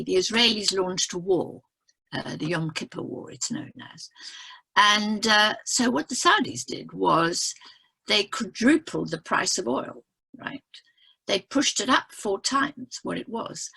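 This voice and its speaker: An older woman from South Africa talks on a video call. Her husky, gravelly voice rises and falls a lot as she tells the story with emphasis. She keeps checking in with her listeners. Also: mid-range voice, bright, forceful tone. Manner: emphatic storytelling with frequent "right?" asides. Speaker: economist speaking on a webinar panel. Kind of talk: impassioned explanatory talk.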